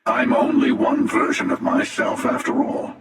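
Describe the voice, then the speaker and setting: An actor playing an arrogant, world-weary cosmic hunter in a video game, sardonic and deliberate, with a gravelly voice under a cavernous effect. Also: male voice, a bit bright. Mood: wry, gloating. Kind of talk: video game character acting. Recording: heavy echo, long reverb, distant.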